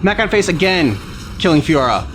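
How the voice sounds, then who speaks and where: A man's voice: high voice, sing-song, normal speed, fairly bright tone, slight background noise. A man hosting a reaction video, giving casual live commentary. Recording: game music behind.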